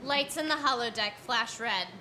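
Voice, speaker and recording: A woman's voice: on the high side, normal speed. A woman narrating scripted stage directions at a live staged reading. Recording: live stage recording with clear noise.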